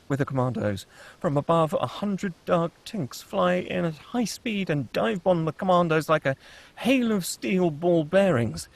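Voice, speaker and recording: Gravelly male narrator reading screenplay directions. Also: on the high side, no echo. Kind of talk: screenplay table read narration, scripted.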